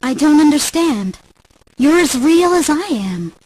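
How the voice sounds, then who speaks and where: A woman's voice: high-pitched, sing-song, measured pace, darkish tone. An actress playing an earnest, anxious but brave young woman in a video game drama, pleading and questioning. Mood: disbelieving.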